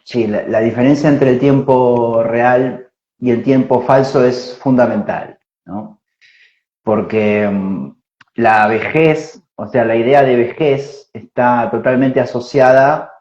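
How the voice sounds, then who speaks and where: Unhurried man talking in a roomy space. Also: mid-range voice, a little mellow. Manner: unhurried, thinking aloud with many fillers and tag questions. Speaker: spiritual teacher answering questions. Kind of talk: reflective explanatory talk. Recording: roomy, dull lo-fi sound.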